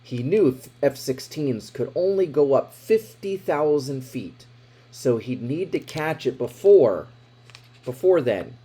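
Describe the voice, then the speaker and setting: A man reading a children's picture book aloud in an expressive way, with dramatic emphasis. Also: mid-range voice, slow, breathy edge. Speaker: storybook reader.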